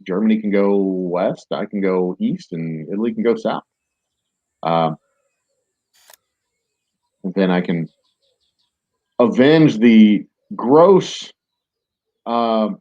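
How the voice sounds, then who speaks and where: Low husky guy chatting thru his strategy game at home, kinda muffled lo-fi mic. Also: lively intonation, extremely slow, long pauses, dark tone, no echo. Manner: rambling, self-mocking, many fillers. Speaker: gaming streamer. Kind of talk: casual let's-play commentary. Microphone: desk microphone.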